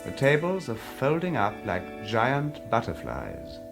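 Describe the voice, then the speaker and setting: A man narrating an audio drama in a deliberate, expressive, dramatic storytelling style. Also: mid-range voice. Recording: loud music under the voice. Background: music.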